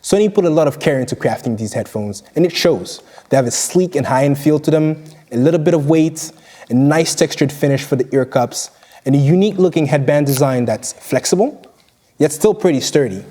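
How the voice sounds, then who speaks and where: Male product reviewer, scripted narration, mellow timbre, pitched a bit above average. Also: fairly quick.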